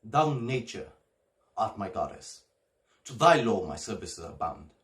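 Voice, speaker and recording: A man performing a classical stage soliloquy as a bitter, scheming bastard son, deliberate and brooding. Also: lowish voice, big pitch swings, a bit bright. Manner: deliberate, brooding, hissing to declaiming.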